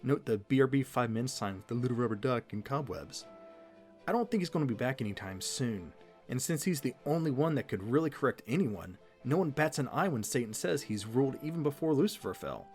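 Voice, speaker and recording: A man's voice: medium pitch, animated, fairly quick, a little mellow, faint music behind. A man co-hosting a fan recap show in casual, scripted commentary.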